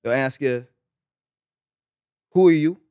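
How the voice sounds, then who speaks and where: A relaxed man from Barbados talks easygoingly as a podcast guest, his voice close and dry on a studio mic. His pitch sits in the middle range for a man. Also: slow pace, no echo. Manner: easygoing, repeats "you know what I'm saying". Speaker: guest musician. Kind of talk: casual podcast chat.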